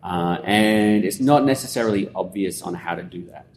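An Australian man with a low voice talks through ideas in a loose, rambling way, full of ums and restarts. He is recorded in an echoey room at a conference, with a faint rumble behind him. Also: normal speed. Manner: relaxed, rambling explainer with many fillers. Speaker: guest. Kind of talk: casual conversational interview. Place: conference venue.

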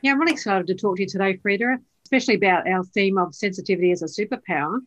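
A woman's voice: mid-range voice, racing, highs cut off. Woman podcast host asking chatty questions. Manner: warm scripted intro, then chatty questions with tag questions. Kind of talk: podcast interview.

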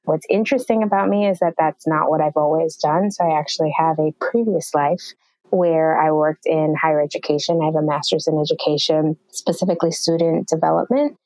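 A woman from New York, a marketing executive and podcast guest, answering in an articulate, measured way. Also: low voice, steady tone, a little mellow, no room sound, poor audio. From New York, USA. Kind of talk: interview answers.

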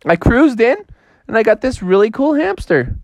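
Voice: medium pitch, big pitch swings, normal speed, darkish tone, no echo, pitched above the performer's own voice. A storyteller voicing an easygoing young boy in a made-up story. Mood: breezy. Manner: breezy, casual. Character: easygoing boy called Froggy.

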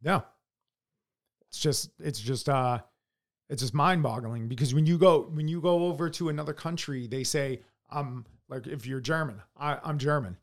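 A man's voice: mid-range voice, moderate pace. A man co-hosting a podcast, talking bluntly with lots of swearing and stammered restarts in a casual opinion chat. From United States. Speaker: podcast co-host.

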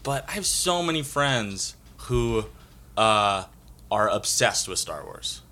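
A young man in his twenties chatting casually with friends. He has a low, bright voice. He rambles eagerly, full of fillers and restarts. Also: sing-song, slow. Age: 20s. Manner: rambling, enthusiastic, many fillers. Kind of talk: casual group chat.

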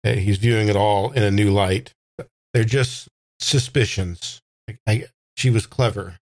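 A man playing a tabletop roleplaying game, improvising dialogue and narration in a halting, thoughtful way. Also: lowish voice, steady tone, no room sound. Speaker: player in a tabletop roleplaying audio drama. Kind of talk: improvised in-character talk and casual narration.

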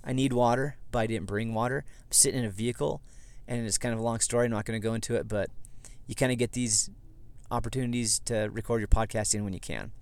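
A man from southeast Idaho with a slightly hoarse, froggy voice, talking as a podcast host in a rambling, self-correcting way. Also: medium pitch, fairly even intonation, fast, hardly any pauses, darkish tone. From southeast Idaho, USA. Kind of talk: casual history podcast monologue. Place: inside a parked vehicle.

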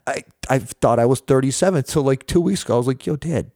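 A man in his thirties from the United States tells stories as a podcast guest, slangy and full of fillers. His voice sits at a middle pitch and sounds dry and close to the mic. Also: fast, dry recording. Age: 30s. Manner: animated storyteller, slangy, many fillers. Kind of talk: casual chat.

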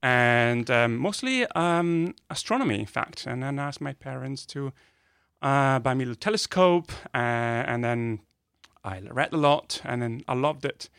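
A man with an Italian accent, a native Italian speaker, explaining science in a conversational interview with enthusiasm and pauses between phrases. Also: mid-range voice, expressive, vocal fry, fairly bright tone, smooth, no room sound, clean studio sound. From northern Italy. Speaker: guest physicist. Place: podcast studio.

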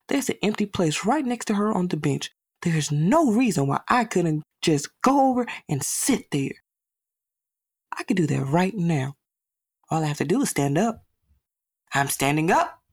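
A woman's voice: sits low, a little mellow, breathy edge, dry recording, studio quality, full character voice. A woman performing a monologue as a lonely, self-doubting young boy, glum and self-deprecating. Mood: anxious, self-critical. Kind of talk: dramatic monologue reading.

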